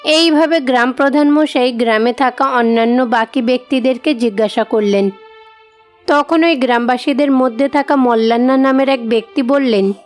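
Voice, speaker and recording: A woman's voice: sits high, moderate pace. A woman narrator reading a scripted moral tale for a cartoon. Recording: music behind the voice.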